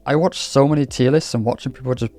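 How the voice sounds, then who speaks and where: A man's voice: medium pitch, fast, mellow. A man from Wales hosting a casual gaming vlog, talking in a chatty, candid, lightly scripted way. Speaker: gaming YouTuber. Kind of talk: casual game commentary vlog. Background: faint background music.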